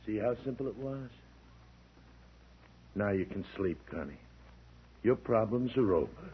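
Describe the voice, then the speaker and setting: A man playing a smug, calm hired killer who muses condescendingly in an old radio crime drama. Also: fairly low pitch, noisy background. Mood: soft, cold. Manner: musing, condescending, unhurried. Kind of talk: 1940s-50s radio crime drama acting. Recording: tinny vintage radio recording.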